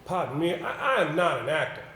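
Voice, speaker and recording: A man on a theater stage acting a nervous, earnest gentleman who recites awkwardly, with a hesitant, apologetic and formal manner. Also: on the high side, very animated, a little fast, exaggerated voice. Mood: hesitant, polite. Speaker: stage actor in an amateur two-man play. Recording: roomy theater stage recording, background noise.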